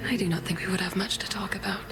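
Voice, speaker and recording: A woman voicing a gentle, wistful moon goddess who speaks softly in a near whisper. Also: mid-range voice, steady tone, fast, close to an everyday voice.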